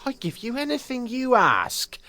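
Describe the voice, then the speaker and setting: A man's voice: extremely high pitch, wildly swooping pitch, moderate pace, bright, forceful tone, dry recording, exaggerated voice. A man playing a hot-tempered, exasperated estate manager in an audio drama, blustering and emphatic. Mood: strained politeness.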